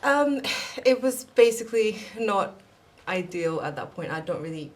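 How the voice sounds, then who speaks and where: A woman's voice: mid-range voice, normal speed. A woman in her late twenties from Pakistan with a slight mixed Pakistani and American accent, a podcast guest chatting casually and laughing often. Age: late 20s. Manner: relaxed, laughs often, rambling. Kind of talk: casual interview chat.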